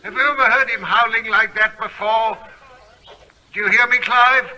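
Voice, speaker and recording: A man's voice: very high voice, fairly even intonation, normal speed, bright, forceful tone, full character voice, rough recording. An actor playing an earnest, persuasive man, a concerned friend, in a naturalistic radio drama. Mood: urgent, pleading. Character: earnest, persistent male friend of a gunman, pleading calmly.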